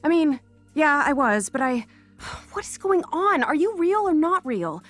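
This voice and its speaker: A woman's voice: high-pitched, big pitch swings, measured pace, a bit bright, faint music behind, heavily acted. An actress playing a teenage girl who has just lost her sight, stubborn and sarcastic. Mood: wry. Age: teens. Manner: sarcastic, restless. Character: teen girl newly blinded, stubborn.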